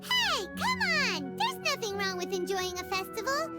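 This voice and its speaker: A woman voicing a tiny, chirpy fairy companion in a game cutscene, with a squeaky childlike voice. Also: wildly swooping pitch, a little slow, exaggerated voice. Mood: eager. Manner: refers to herself in third person. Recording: game audio with music bed.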